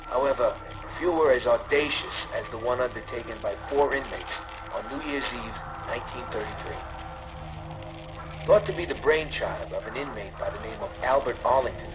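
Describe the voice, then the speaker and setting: A male actor voices an older American prison guard looking back on a grim tale. He tells it gravely and with measured drama, as a video game audio log. Loud music plays underneath his mid-pitched voice. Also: lightly acted, low-quality recording. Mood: grave, ominous. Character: former Alcatraz prison guard solemnly recounting a dark tale.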